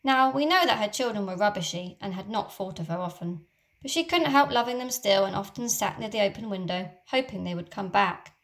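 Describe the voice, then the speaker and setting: A woman teacher reading a children's storybook aloud, with expressive, dramatic storytelling. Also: mid-range voice, brisk.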